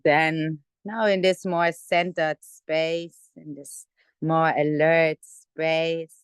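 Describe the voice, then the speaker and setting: Woman teaching meditation, really slow and gentle, lowish voice, lots of pauses, dry close sound. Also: flat delivery, smooth, no room sound. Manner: gentle, unhurried, with many pauses. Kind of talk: guided meditation. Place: online video call session.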